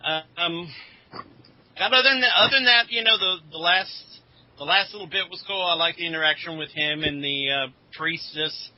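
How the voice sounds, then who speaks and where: A man co-hosting a podcast in casual chat, with a halting, hedging delivery. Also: on the high side, no room sound. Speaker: podcast co-host. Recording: dull lo-fi podcast audio.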